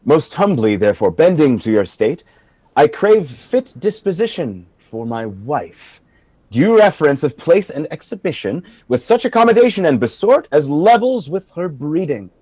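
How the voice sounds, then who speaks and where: A man playing a proud, eloquent general in love in Shakespearean verse, telling his story in a measured, dramatic way. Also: a little high, big pitch swings, mellow, slightly rough, no echo. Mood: dignified, firm. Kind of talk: Shakespearean verse acting in a radio play.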